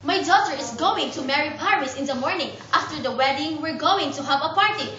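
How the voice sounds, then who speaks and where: An excited father of the bride, played by a woman, fires off hurried orders in a very high, bright voice. The mic sits far away in a roomy, echoing space, and the sound is dull and slightly noisy. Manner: eager, bustling orders.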